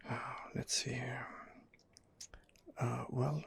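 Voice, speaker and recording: A man's voice: mid-range voice, little pitch movement, slow, gravelly, breathy edge. A man murmuring hesitantly as he hosts an ASMR gaming role-play, thinking aloud close to the microphone. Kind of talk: whispered ASMR role-play gameplay narration.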